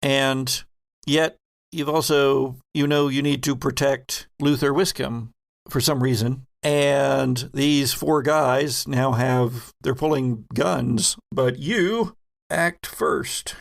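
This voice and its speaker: A man narrating a tabletop horror game as game master, in halting, suspenseful phrases. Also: mid-range voice, steady tone, creaky.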